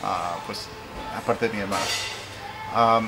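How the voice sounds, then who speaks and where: A man from Puerto Rico interviewing conversationally and sharing personal anecdotes, halting and correcting himself as he goes. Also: fairly low pitch, steady tone, vocal fry, over music, rough recording. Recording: noticeable background noise.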